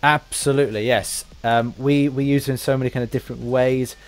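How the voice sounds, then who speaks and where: Male podcast host, medium pitch, informal, questions and asides delivered in a stumbling, stop-start way. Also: steady tone. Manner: halting, stumbling over phrases. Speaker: podcast interviewer. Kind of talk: casual interview chat.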